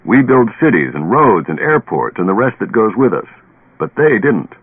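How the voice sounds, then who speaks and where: An actor playing a stubborn, haunted, tipsy professor who lectures deliberately and dramatically in a radio play. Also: male voice, lowish voice, very animated, slightly rough, phone-quality sound. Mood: insistent. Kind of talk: old radio drama acting. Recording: band-limited old radio recording, echoey.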